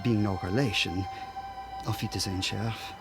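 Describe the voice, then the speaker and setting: An actor with a German accent playing a stern, regretful professor in a radio drama. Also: male voice, on the low side, fairly even intonation, normal speed, music in the background, close to an everyday voice. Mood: formal, restrained. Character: stern, regretful German professor, husband, middle-aged or older.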